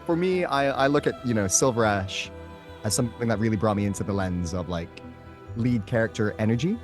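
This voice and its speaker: A man's voice: fairly low pitch, expressive, a little fast, over music. A man chatting casually as an interview guest, playful and a touch theatrical in how he puts things.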